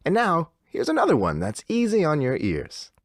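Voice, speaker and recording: A theme park radio DJ from a game, cheerful to an unsettling degree. His pitch swings wildly through reassuring, theatrical announcer patter, recorded very dry and close. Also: wildly swooping pitch, normal speed, no echo, heavily acted. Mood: upbeat, reassuring.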